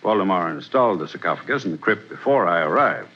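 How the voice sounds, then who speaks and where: A prim, defensive professor explains every detail insistently. His lively voice swings through a wide range. The sound is dull, like an old 1940s broadcast. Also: sits low, quick pace. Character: defensive, precise museum professor. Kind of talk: 1940s radio drama acting.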